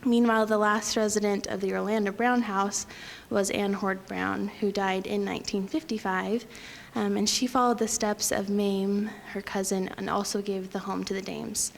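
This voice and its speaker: A young woman from Utah giving a prepared lecture at a podium, talking quietly with frequent ums. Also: medium pitch, flat delivery, moderate pace, vocal fry, slight background noise. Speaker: guest lecturer.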